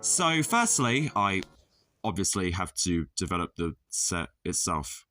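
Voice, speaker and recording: A man giving a casual tutorial voice-over as a video creator, with deadpan, self-deprecating, sweary humour. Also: low voice, measured pace. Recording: music playing under the voice. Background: background music.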